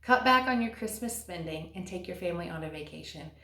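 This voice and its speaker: A woman's voice: fairly low pitch, animated, pushed and bright. Earnest woman in an echoey room. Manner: earnest and encouraging, slows to stress key words. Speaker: vlogger and stay-at-home mom. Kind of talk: scripted advice talk to camera.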